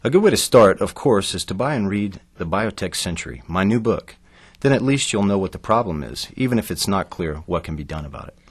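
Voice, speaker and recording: An actor playing an earnest, preachy activist author in an audio drama. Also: male voice, sits low, lively intonation, brisk, husky. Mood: earnest, urgent. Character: earnest male activist author, alarmed and self-promoting.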